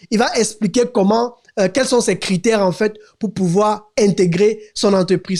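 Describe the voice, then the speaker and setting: A man's voice: high voice, darkish tone. Hesitant male podcast host. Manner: hesitant, repeats words, many short backchannels. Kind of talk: host intro and interview.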